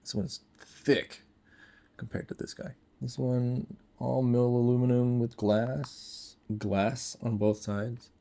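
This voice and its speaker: A man's voice: mid-range voice, level tone, slow pace, vocal fry, mellow. A man giving casual, unscripted product commentary, thinking aloud in short, informal remarks as a reviewer.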